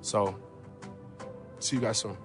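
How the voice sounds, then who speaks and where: A man speaking excitedly about an upcoming game, his voice trailing off softly at the ends. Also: fairly low pitch, slow, soft background music.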